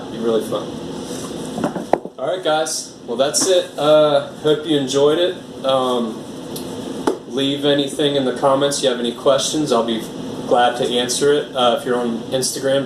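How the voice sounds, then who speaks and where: A man's voice: medium pitch, fairly even intonation, normal speed, far from the mic, background noise. An American man vlogging casually as he unboxes art supplies, rambling with lots of fillers. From United States. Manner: rambling, filler-heavy, easygoing. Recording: echoey room, voice off-mic.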